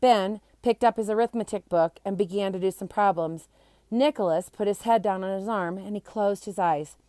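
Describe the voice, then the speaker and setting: A woman reading a children's chapter book aloud in an expressive, animated storytelling manner. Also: mid-range voice, normal speed, no echo, clean studio sound. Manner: animated, acts out character voices. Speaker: storybook reader.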